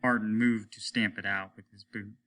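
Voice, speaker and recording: A man's voice: on the low side, flat delivery, moderate pace, a bit bright. A man reading a science fiction story aloud as a narrator, in the manner of a teacher reading to a class.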